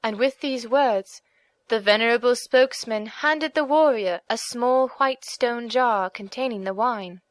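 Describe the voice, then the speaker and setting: Female audiobook narrator, slightly high voice, expressive formal storytelling, dry close recording. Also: a little slow, dry recording.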